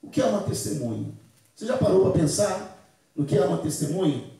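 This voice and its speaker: A man's voice: high voice, lively intonation, quick pace, mellow. A man in his thirties preaching a Pentecost sermon as pastor in a bare church hall, speaking into a handheld microphone and leading the congregation in repeating phrases. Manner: preaching with call-and-response, gesturing with a handheld mic. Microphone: handheld mic. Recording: very echoey hall, from a distance.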